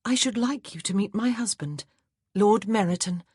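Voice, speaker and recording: A woman's voice: medium pitch, normal speed, darkish tone, husky, no room sound, clean studio sound, voice pushed up, natural voice. A narrator voicing an earnest young married woman who speaks eagerly in a period audiobook. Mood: gentle. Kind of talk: audiobook character voice.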